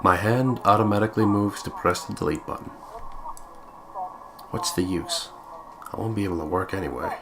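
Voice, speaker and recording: Gaming co-commentator: man, lowish pitch, jokey and informal, noisy recording. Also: moderate pace, long pauses. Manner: joking, playful. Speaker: co-player in a horror game playthrough. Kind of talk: casual gaming commentary and reading aloud. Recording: clear background noise.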